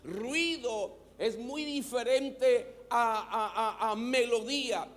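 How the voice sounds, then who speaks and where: A man's voice: very high-pitched, slow, fairly bright tone. A man with a Mexican Spanish accent, preaching a sermon as pastor and having the congregation repeat words after him.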